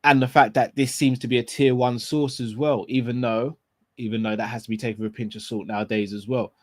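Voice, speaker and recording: A man hosting a casual live fan show, his voice raspy and a bit hoarse and tired, talking in an opinionated way and picking apart wording with repeated rhetorical questions. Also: mid-range voice, fairly even intonation, a little fast. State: hoarse and tired after illness. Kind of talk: casual live football talk.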